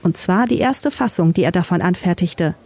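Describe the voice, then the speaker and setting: A woman's voice: lowish voice, moderate pace, a little mellow. A woman working as a radio reporter, reading scripted narration.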